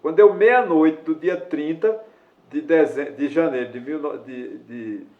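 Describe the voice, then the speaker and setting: A man's voice: on the high side, a little slow, echoey room, narrow, tinny audio. A man in his sixties, a lawyer giving a casual video lesson, talking in a rambling way with many fillers and self-corrections. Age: 60s. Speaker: lawyer explaining elderly law.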